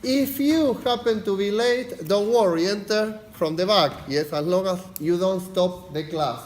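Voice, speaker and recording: Fast-talking Argentine lecturer in an echoey hall. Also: male voice, high-pitched. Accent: Argentine Spanish. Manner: fast-talking, frequent "yes?" check-ins, filler "uh". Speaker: university lecturer, unit leader. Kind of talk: lecture introduction. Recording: echoey lecture hall, faint background noise.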